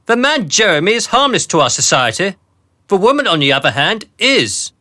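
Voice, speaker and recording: Man acting callous older grandee, forceful bright voice, animated swooping pitch, pompous formality, satirical radio play. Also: exaggerated voice. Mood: condescending. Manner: pompous, mock-formal, deliberate. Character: pompous, callous older gentleman of a secret society.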